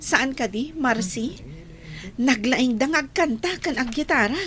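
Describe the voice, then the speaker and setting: A woman's voice: high voice, animated, unhurried, fairly bright tone. A woman playing an earnest young student in an Ilocano radio drama. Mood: earnest, defensive.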